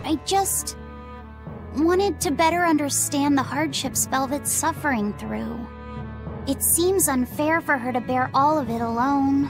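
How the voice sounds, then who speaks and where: A high, youthful voice for a gentle boy spirit. He is earnest, shy and caring, in game cutscene style, with clear music playing behind him. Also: a little slow. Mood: hesitant, earnest. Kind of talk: English game voice acting.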